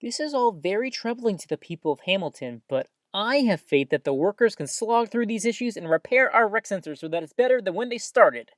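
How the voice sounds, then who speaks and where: A woman's voice: mid-range voice, lively intonation, fairly quick, hardly any pauses, dry recording, studio quality, close to an everyday voice. An actress playing an earnest, cheery woman who hosts a small-town community radio show, with a folksy announcing style. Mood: earnest, newsy. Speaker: radio host.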